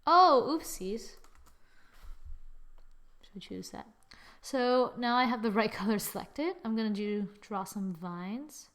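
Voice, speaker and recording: A woman hosting a casual drawing software tutorial, thinking aloud informally and often murmuring as she works. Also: a little high, lively intonation, slow pace, long pauses. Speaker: tutorial host. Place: screen-recording voice-over.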